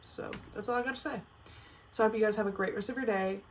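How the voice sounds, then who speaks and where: A woman's voice: medium pitch, fast talker, a little hiss or hum. A teenage girl hosting her own podcast, chatting casually and playfully dramatic, with lots of fillers. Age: teens. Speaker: podcast host. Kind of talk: casual solo podcast chat.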